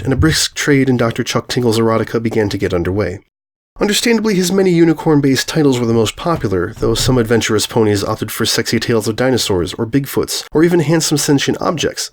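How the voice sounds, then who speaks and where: Man narrating a story, middling pitch. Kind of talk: scripted fan fiction narration.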